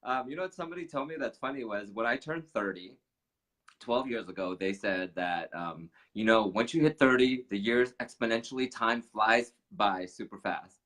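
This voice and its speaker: A man in his forties with a lowish voice hosts a casual livestream from his living room. He sounds upbeat and chatty, slightly nasal from allergies, heard through a video call. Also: normal speed, a bit bright. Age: 40s. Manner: upbeat, chatty, reads comments aloud. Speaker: host.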